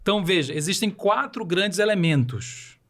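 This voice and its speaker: High-voiced man with a Belém accent. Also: lively intonation, normal speed, fairly bright tone. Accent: Paraense Brazilian Portuguese. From Belém do Pará, Brazil. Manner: didactic, uses rhetorical questions. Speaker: podcast guest. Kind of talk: explanatory podcast talk.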